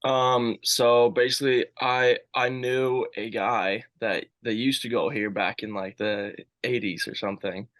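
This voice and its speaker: A man's voice: medium pitch, steady tone, measured pace, hardly any pauses, fairly bright tone, no room sound. A young man from Sweden, a student athlete chatting casually and rambling with lots of "like". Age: young adult. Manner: rambling, says "like" a lot. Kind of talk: casual interview answers.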